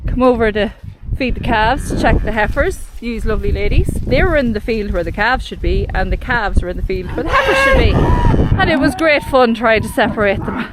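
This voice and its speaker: A woman's voice: on the high side, very animated, fairly quick. A woman chatting casually as she films on a farm, with a lighthearted, teasing manner. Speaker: farm vlogger filming. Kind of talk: casual vlog chat. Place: outdoors in cattle fields. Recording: very noisy outdoor farm, cattle mooing.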